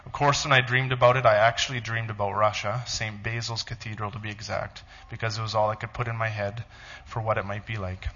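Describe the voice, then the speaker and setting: A man, a pastor, reading a blog aloud to his church in a halting, emotional voice. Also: on the low side, little pitch movement, sharp tone, muffled recording. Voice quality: tearful, halting.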